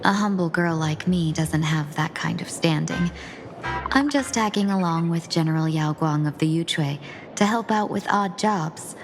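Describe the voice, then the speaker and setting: A courteous, self-effacing young woman voiced by a woman speaks gently and graciously. Her voice sits a little low. Game music plays behind. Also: moderate pace, close to an everyday voice. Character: polite, modest young woman envoy.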